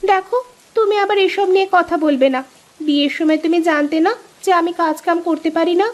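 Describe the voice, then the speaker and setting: Woman acting a very high-pitched, fretful village wife. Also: moderate pace. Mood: hurt, indignant. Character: anxious, doting, easily hurt young village wife. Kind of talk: Bengali cartoon story voice acting.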